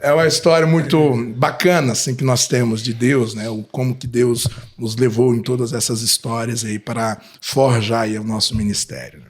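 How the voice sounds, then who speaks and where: Husky jovial pastor. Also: male voice, mid-range voice, fairly even intonation, normal speed, hardly any pauses. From Brazil, Minas Gerais. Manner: jovial, storytelling, self-deprecating humor. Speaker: pastor guest. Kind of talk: casual podcast interview.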